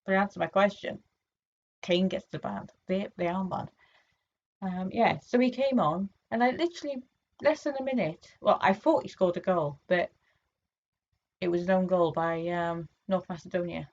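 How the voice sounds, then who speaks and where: A woman from England chatting casually to camera in a vlog, her throat a little sore and hoarse, rambling with lots of ums and restarts. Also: lowish voice, normal speed, darkish tone, highs cut off. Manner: rambling, many fillers and restarts. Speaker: vlogger.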